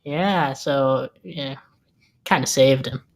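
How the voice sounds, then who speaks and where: Man playing a nervous, halting young bluffer. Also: medium pitch. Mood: fumbling, improvising. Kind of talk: table read of a film script.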